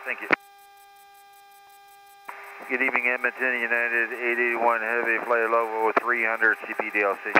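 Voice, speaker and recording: A male airline pilot speaks in short, formulaic radio calls. His voice sits at a middle pitch, heard through an aircraft radio with clear noise around it. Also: monotone, normal speed, long pauses. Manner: clipped, formulaic phrasing. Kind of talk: radio callouts to air traffic control. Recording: noisy aircraft radio transmission.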